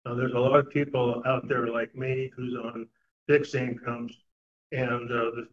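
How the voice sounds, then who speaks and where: An older man giving a public comment at a meeting, speaking haltingly with many fillers. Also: mid-range voice, little pitch movement, a little hiss or hum. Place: meeting room. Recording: dull lo-fi meeting recording.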